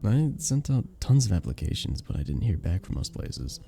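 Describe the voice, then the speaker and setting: A man voicing a charming, modest vampire bartender in a soft-spoken ASMR roleplay, with a gentle, polite and slightly formal manner. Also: fairly low pitch, sing-song, normal speed, vocal fry. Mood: modest, amiable. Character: charming, sweet, modest young-looking male vampire bartender. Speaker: voice actor in an audio roleplay.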